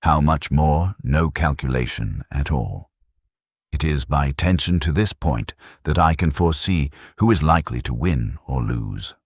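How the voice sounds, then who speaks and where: A man reading a classic text as a scripted audiobook, in a measured, deliberate way. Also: rumbling low, lively intonation, slightly rough, no room sound, studio quality. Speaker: audiobook narrator.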